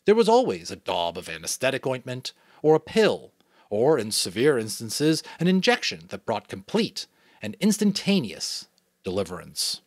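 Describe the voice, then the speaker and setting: A man's voice: medium pitch, normal speed, no room sound, studio quality. A man from New England, a father, giving a dramatic audiobook reading with casual commentary. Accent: New England American. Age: adult, a father. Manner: animated, expressive storytelling. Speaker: audiobook reader.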